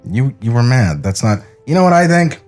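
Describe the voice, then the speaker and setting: Man playing weary cartoon director, sincere, hesitant, widely varied pitch, faint music underneath. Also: big pitch swings, moderate pace, a little mellow, close to an everyday voice. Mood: quietly concerned. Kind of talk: acted screenplay table read.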